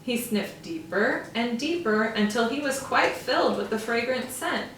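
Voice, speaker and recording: A woman teacher reading a storybook aloud to young children, with slow, expressive, drawn-out emphasis. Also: a little high, slight background noise. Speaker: first-grade teacher. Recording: roomy echo, from far off.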